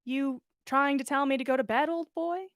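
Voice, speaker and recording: A woman's voice: sits high, moderate pace, dry recording, clean studio sound, voice pushed up, close to an everyday voice. A woman voicing a hungover young wizard man, good-natured but wry and grumbling, in a story read aloud. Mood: wry teasing. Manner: exasperated, wry mock-grumbling.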